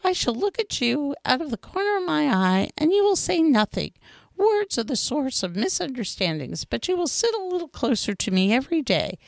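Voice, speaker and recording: A woman voicing a gentle, lonely male fox in a storybook reading, high and pleading in manner. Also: very animated, normal speed, vocal fry, dry recording, heavily acted. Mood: earnest pleading. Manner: high, sing-song and pleading.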